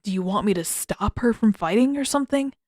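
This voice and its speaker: A woman's voice: mid-range voice, lively intonation, fairly quick, a little mellow, no room sound, lightly acted. A woman reader puts on a prickly young prince in an adventure story. He is guarded and sullen, answering in short, defensive bursts with a dry edge. The recording has no audible room. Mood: guarded, impatient.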